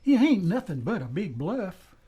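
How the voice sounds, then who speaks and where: An actor playing a loyal, excitable western deputy with an American accent and folksy, flustered talk in a radio play. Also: male voice, high voice, normal speed, slightly rough, lo-fi sound, exaggerated voice. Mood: eager, puzzled.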